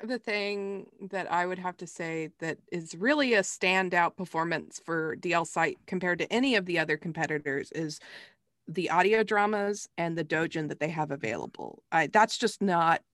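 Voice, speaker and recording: A woman's voice: fairly low pitch, normal speed, fairly bright tone, no echo, studio quality. A woman co-hosting a podcast in a casual, chatty review style. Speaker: podcast co-host.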